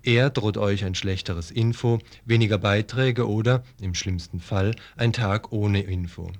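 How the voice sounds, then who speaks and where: A man with a fairly low voice presents for a radio news team. He delivers a scripted promo, addressing the listeners directly. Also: measured pace.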